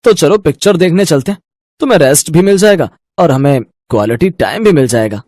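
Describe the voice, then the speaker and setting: A man's voice: fairly high pitch, expressive, brisk, a little mellow, no room sound, studio quality. Guy playing a young husband in a cartoon story, practical, everyday voice, no room sound. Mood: affectionate. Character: young salaried husband, practical and exasperated about money.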